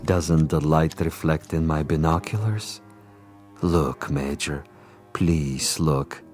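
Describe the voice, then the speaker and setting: A man's voice: a bass voice, fairly even intonation, takes it slowly, a little mellow. An actor playing a cunning Soviet commissar in a radio drama, a pompous gamesman who speaks with taunting, theatrical precision. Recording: music behind the voice.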